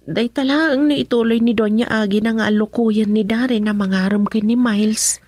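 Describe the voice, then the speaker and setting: An actress plays an anxious, devoted young daughter in an Ilocano radio drama. Her voice is high and breathy, plaintive, with a Filipino accent and a close, dry sound. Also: fairly even intonation, moderate pace, darkish tone, no room sound, close to an everyday voice. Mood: worried.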